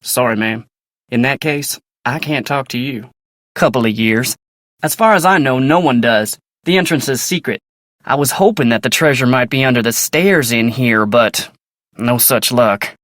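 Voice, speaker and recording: An actor playing a polite, earnest young ranch hand in a video game, with a folksy American Western drawl and a plainspoken way of talking. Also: male voice, medium pitch, normal speed, fairly bright tone, no echo, studio quality, lightly acted. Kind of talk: video game character dialogue.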